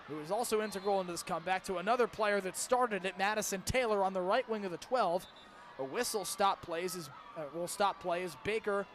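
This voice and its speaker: A young man calling live sports on the radio, describing each move in detail with dramatic phrasing. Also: high-pitched. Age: young adult. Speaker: play-by-play broadcaster. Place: outdoors at a lacrosse stadium. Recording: clear outdoor stadium noise behind.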